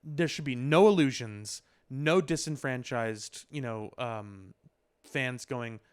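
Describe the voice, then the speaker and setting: A man's voice: mid-range voice, slow pace, clean tone. Opinionated man in casual podcast debate. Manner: opinionated, emphatic, repeats phrases for stress. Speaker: podcast co-host.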